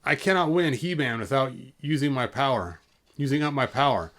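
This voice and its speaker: Man acting out villain warlord, scheming, menacing, deliberate, fantasy storybook read-aloud. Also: medium pitch, animated, fairly bright tone, lightly acted.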